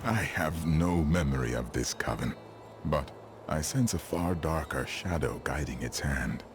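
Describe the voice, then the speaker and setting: Super deep grave stranger in a fantasy game, music behind. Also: male voice, unhurried. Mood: solemn. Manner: grave, portentous. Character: solemn mysterious male stranger with lost memory. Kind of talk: video game voice acting.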